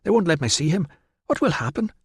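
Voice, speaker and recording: A worried young wife, earnest and anxious yet firm in her words, as a male narrator puts her on. The reader lifts his pitch clearly to play her, in a close, dry recording. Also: big pitch swings, fast talker, no room sound, exaggerated voice.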